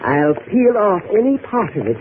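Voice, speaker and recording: An actor playing a nervous, fawning homebody in a radio drama, stammering and effusive. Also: male voice, sits high, very animated, normal speed. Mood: giddy, effusive. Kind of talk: old-time radio drama acting. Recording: tinny noisy old radio recording.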